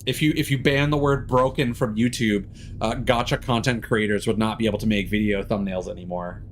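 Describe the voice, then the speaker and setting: A man's voice: on the low side, steady tone, fairly quick, a little hiss or hum. A man chatting casually as he streams a game, rambling into sarcastic, exasperated rants. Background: game music.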